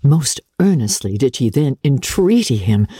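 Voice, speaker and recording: A woman's voice: rumbling low, sing-song, measured pace, warm tone, slightly rough, dry recording, studio quality. A woman reading literary narration as an audiobook narrator, in a scripted storytelling style.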